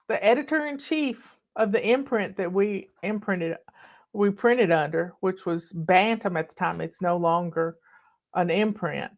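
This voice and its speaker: An older woman from the American South talks to her online followers in a thick Southern accent. She tells stories in a folksy, chatty way, calling people "y'all" and owning up cheerfully to being bossy. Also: medium pitch. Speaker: online host. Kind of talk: casual storytelling chat. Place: home in the mountains.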